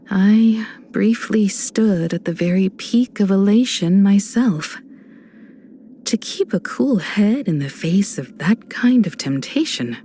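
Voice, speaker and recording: A graceful woman who navigates a starfaring train in a video game. She speaks slowly with expressive swings in pitch, composed and kind, gently teasing yet steady. Faint noise sits behind the polished performance. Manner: poised, unhurried, gently teasing and reassuring.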